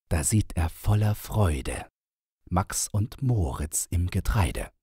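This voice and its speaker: Deep, very slow male storyteller. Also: darkish tone, husky, dry recording, studio quality. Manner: measured, dramatic pauses. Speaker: audiobook narrator. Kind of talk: storybook verse narration.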